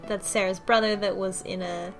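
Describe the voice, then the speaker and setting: A woman's voice: medium pitch, steady tone, normal speed, gravelly, faint music behind. A woman podcast host casually recapping a story in a loose, conversational way, as if thinking aloud. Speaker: book club podcast host.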